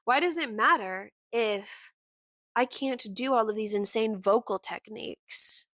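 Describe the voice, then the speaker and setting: A young woman hosting her own podcast, with a warm voice that is deeper than most women's, talking candidly and with passion, full of "like" fillers and the odd swear word. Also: measured pace, dry recording, studio quality. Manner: candid and impassioned, with frequent "like" fillers and mild swearing. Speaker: podcast host. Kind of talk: casual personal monologue.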